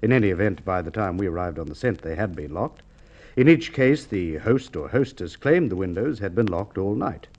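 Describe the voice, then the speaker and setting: An actor playing a shrewd, formal police inspector in a Golden Age radio drama, questioning in a deliberate, coolly probing way. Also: male voice, deep voice, expressive, darkish tone. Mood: methodical, insinuating. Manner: measured, deliberate questioning with hesitations. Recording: muffled vintage radio drama recording.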